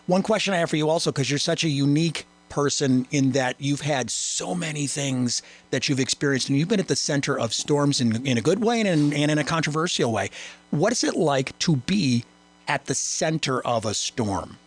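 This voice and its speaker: A man's voice: fairly high pitch, lively intonation, moderate pace. A man hosting a radio show, asking long, winding questions and restarting his phrases often. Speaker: radio host. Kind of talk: radio interview.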